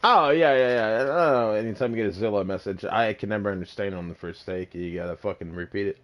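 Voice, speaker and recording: A man's voice: lowish voice, fast talker, lo-fi sound. A man hosting a podcast in loose, profane casual talk, laughing easily. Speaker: podcast host.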